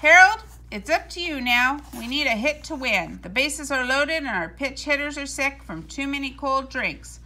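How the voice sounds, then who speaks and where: Male kids' baseball coach played by a woman, storybook style: earnest, encouraging, high-pitched. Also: lively intonation, normal speed, few pauses, bright, forceful tone, heavily acted. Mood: urgent pleading.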